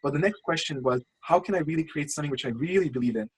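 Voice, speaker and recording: A man's voice: on the high side, quick pace, slightly rough, low-quality recording. A man from Lithuania, not a native English speaker, coaching over a video call in a rambling, passionate way with many restarts. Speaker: channel coach. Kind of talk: casual screen-share coaching call.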